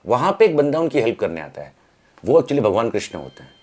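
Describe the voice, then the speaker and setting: A man's voice: medium pitch, very animated, fast, a crowd in the background. A man speaking as an interview guest, telling stories conversationally and explaining with rhetorical questions and acted-out examples. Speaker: interview guest from the film industry. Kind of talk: animated storytelling in casual conversation.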